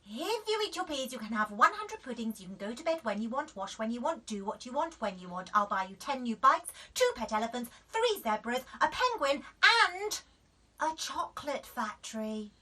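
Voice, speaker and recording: A woman's voice: a little high, sing-song, normal speed, bright, forceful tone, exaggerated voice. A woman voicing an exasperated mum in a children's storybook, coaxing and bribing in gushing lists. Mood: pleading, escalating. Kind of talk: children's storybook character reading.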